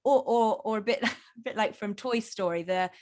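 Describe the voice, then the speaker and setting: Mid-pitched woman in casual chat. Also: normal speed, a little mellow. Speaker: actor. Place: indoors, video call.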